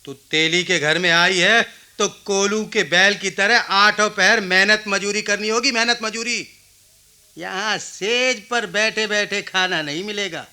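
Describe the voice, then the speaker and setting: A man's voice: sits high, unhurried, bright, forceful tone, clean tone, full character voice. A man playing a proud, wounded village oil-seller in his forties, speaking emphatically in a Hindi radio drama. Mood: harsh, domineering.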